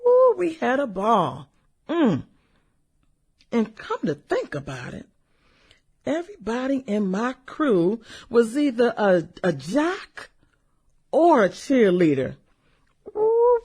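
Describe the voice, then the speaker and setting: Brash, nostalgic ex-popular girl in middle age played by a woman, radio play. High-ish, very animated voice, sassy drawl. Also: takes it slowly, breathy edge, exaggerated voice. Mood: gleeful nostalgia. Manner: sassy, drawling exclamations and hums, girlfriend-to-girlfriend banter. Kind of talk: satirical radio drama acting.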